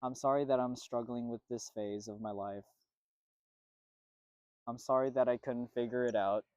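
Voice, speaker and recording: A man reciting a reflective apology monologue, measured and earnest with repeated phrasing. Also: mid-range voice, steady tone, darkish tone, dry recording.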